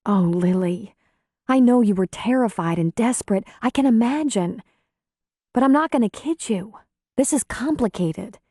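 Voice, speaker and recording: A woman reading the part of a caring, level-headed midwife in an audiobook, gentle, earnest and concerned. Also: a little high, lively intonation, moderate pace, husky, no echo, studio quality, pitched above the performer's own voice. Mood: gentle, sober. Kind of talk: audiobook character dialogue.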